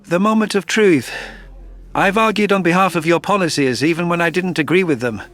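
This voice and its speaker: A man's voice: high-pitched, fairly quick, a bit bright, voice pushed down. Man playing a loyal, formal alien general. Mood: solemn, respectful. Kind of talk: audio story character dialogue.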